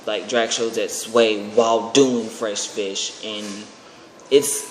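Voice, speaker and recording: Echoey, low-pitched American man vlogging. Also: fairly even intonation, slow pace. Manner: rambling, informal, repeats and restarts phrases. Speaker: aspiring singer and video creator. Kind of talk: casual personal vlog announcement. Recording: very echoey, reverberant room with faint noise.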